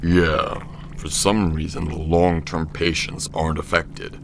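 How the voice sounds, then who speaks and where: A man's voice: a bass voice, level tone, measured pace, gravelly, a little hiss or hum, full character voice. A man voicing a weary, blunt robot medic in an audio drama. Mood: resigned, irritated.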